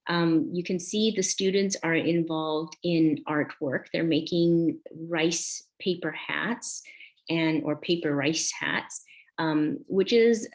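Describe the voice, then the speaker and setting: A woman in her forties, born in Vietnam, giving a reflective conference talk built on a personal story, pausing often with soft fillers. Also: low voice. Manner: slow, reflective, with frequent ums. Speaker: conference speaker.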